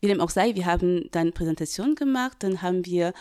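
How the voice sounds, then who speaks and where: Woman with a dark voice. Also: fairly low pitch, a little fast, no echo, clean studio sound. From Cameroon. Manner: hesitant with frequent fillers, earnest. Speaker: diversity trainer, workshop leader. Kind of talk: interview answers.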